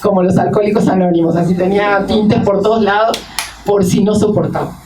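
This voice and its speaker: A woman in her fifties from Uruguay tells a reflective personal story in a panel discussion, pausing often as she thinks aloud. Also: mid-range voice, fairly even intonation. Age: 50s. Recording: distant mic with clear noise.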